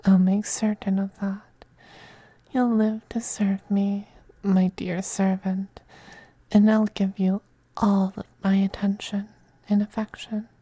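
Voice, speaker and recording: An actor voicing a possessive, doting male vampire noble, a femboy lover, in a soft, breathy, whispered ASMR roleplay with a coaxing, tenderly domineering manner. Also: medium pitch, flat delivery, takes it slowly, no echo. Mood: possessive, devoted.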